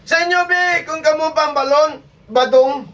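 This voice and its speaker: A man's voice: extremely high pitch, normal speed, pushed and bright. A man acting a vain, whining husband in a comic skit, stammering and complaining with an Ilonggo Filipino accent. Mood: whining complaint. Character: vain, whining husband obsessed with his good looks. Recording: distant microphone.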